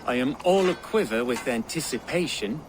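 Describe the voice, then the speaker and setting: An actor playing a sincere convert to Norse ways in a video game, measured and dry. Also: male voice, medium pitch, animated, loud music behind the voice, close to an everyday voice. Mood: calm, dry. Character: devout-raised young convert to Norse ways, dryly sincere.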